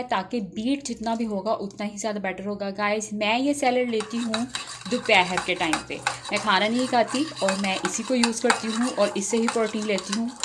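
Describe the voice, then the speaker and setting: A woman chattily walking viewers through a recipe as a home cook, mixing in English phrases. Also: medium pitch. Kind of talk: casual recipe demonstration. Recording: noticeable background noise, kitchen clatter.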